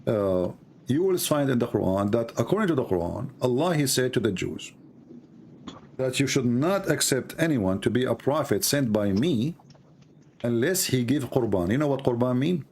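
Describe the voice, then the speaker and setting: A man's voice: medium pitch, expressive, moderate pace, darkish tone, slight background noise. A man whose first language is Arabic, hosting a live debate call in earnest, repetitive, broken English. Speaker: host of a live debate show.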